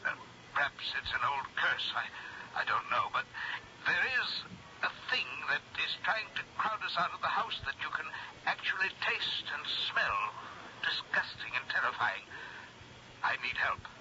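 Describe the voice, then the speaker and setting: An anxious titled gentleman, pleads haltingly in a very sharp, strained, highish voice. It is urgent old-time radio acting on a dull recording. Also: sing-song, slight background noise, exaggerated voice. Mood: desperate.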